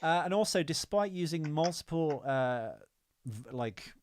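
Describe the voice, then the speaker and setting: A man's voice: on the high side, animated, unhurried, a little mellow, no echo. Man in casual podcast chat, dry sound. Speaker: podcast co-host. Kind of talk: casual film discussion.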